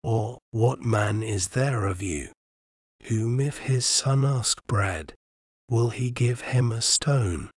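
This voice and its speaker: Slow, soothing male Bible reader. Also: medium pitch, dry recording, clean studio sound. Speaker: scripture reader. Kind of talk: slow bedtime Bible reading.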